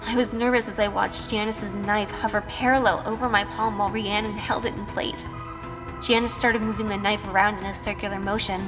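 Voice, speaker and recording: A woman's voice: medium pitch, flat delivery, a little fast, big-room sound, close to an everyday voice. A woman playing a timid, sheltered young woman in an audio drama, hesitant and anxious. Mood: uneasy, reflective. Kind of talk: audio drama acting and first-person narration. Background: music.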